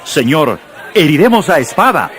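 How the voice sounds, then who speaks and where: A man's voice: on the high side, very animated, normal speed, fairly bright tone. A man playing an agitated, defensive disciple in a dramatized audio Bible, with an emphatic, urgent delivery. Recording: crowd noise under music.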